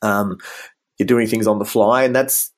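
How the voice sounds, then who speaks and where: A man with a rather low voice and an Australian accent talks through his career as an interview guest. He sounds thoughtful and easygoing, filling his sentences with ums and sort-ofs. His mic sounds a little muffled, as if something keeps rubbing against it. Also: steady tone, a little slow. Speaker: guest, business designer. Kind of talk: relaxed interview storytelling.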